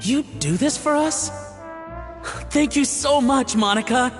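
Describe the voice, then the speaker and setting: A woman's voice: sits high, expressive, slow, faint music behind. A woman voicing a worried, caring young daughter in a video game, pleading and tender. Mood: grateful.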